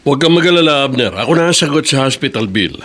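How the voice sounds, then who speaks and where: Stern but benevolent male boss, played by an actor, commanding, lively intonation, radio drama. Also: fairly high pitch, over music, close to an everyday voice. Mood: kindly. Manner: authoritative, deliberate. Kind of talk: Tagalog radio drama acting.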